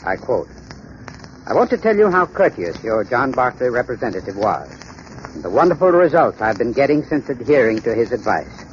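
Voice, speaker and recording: A man of medium pitch delivers a courteous, formal sponsor's pitch with very varied intonation. It sounds scripted, on a dull, faintly hissy 1930s radio recording. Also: sing-song, normal speed. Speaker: sponsor's heating expert. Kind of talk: 1930s radio advertising talk.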